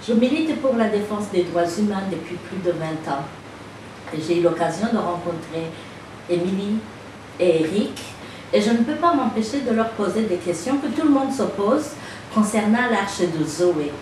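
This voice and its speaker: A woman's voice: mid-range voice, animated. Woman interviewer in an echoey room. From Rwanda. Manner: measured, formal questioning. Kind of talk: formal interview questions. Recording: echoey room, mic far off, noisy.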